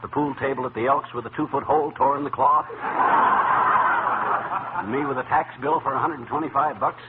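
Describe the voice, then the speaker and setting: A man's voice: medium pitch, steady tone, brisk, close to an everyday voice. A man playing a grumpy, blustering middle-aged husband in a 1940s radio comedy, sputtering and complaining with easy exasperation. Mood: wry, grumbling. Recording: noisy, tinny old radio broadcast.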